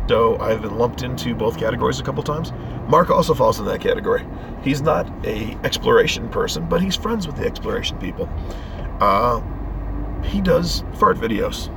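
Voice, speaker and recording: A man with a hoarse voice from a head cold, rambling and ranting as he vlogs while driving. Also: fairly low pitch. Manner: rambling, earnest rant. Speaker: vlogger. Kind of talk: casual driving vlog. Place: inside a moving car. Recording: car road noise.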